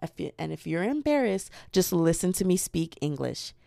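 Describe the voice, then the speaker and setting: A woman's voice: on the low side, big pitch swings, normal speed, creaky, dark tone, no room sound, studio quality. A young American woman hosting a solo podcast, rambling and joking at herself with giggles. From United States. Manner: rambling, self-deprecating, giggly. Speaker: podcast host.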